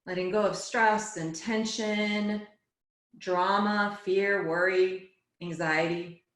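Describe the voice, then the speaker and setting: A woman yoga teacher guiding a relaxation and meditation class in a soothing, unhurried way, phrase by phrase. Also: medium pitch, steady tone. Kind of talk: guided relaxation and meditation instruction. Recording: echoey, roomy sound.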